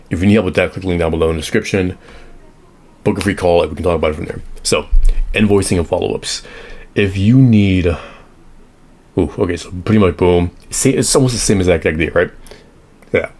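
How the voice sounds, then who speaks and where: A young man with a deep, low voice, hoarse from a recent illness. He walks through automation setups in a loose, rambling way, stopping to correct himself as he sketches. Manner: rambling, self-correcting, drawing on an iPad. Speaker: automation consultant. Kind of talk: casual screen-share tutorial.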